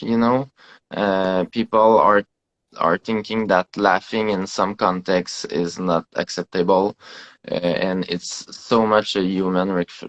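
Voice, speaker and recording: A man with a low voice and a French-speaking Canadian accent answers questions over a video call. He searches for his English words and fills his pauses with many fillers. His thoughts come out in halting, reflective bursts. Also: dull audio. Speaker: film director. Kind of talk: casual online interview.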